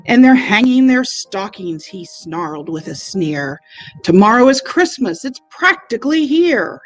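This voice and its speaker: A woman's voice: mid-range voice, sing-song, unhurried. A woman narrating a rhyming children's storybook. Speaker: library staff member reading aloud. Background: background music.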